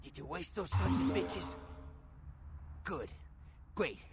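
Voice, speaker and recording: A man's voice: sits high, sing-song, takes it slowly, very noisy, heavily acted. A male actor playing a streetwise, shady fixer in a video game, talking in blunt tough-guy slang. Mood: relieved, eager. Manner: gruff slangy tough-guy talk, matter-of-fact. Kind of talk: video game dialogue acting.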